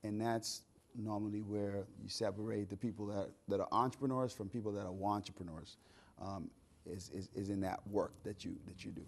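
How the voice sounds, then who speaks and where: A man from the Bahamas in his thirties speaks as a guest on a talk show. His voice sits a little low, and he tells his story in a relaxed, chatty way, restarting phrases as he searches for words. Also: a little fast, vocal fry, slight background noise. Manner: chatty storyteller, repeats words while thinking. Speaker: guest, entrepreneur and author. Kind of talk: casual interview talk.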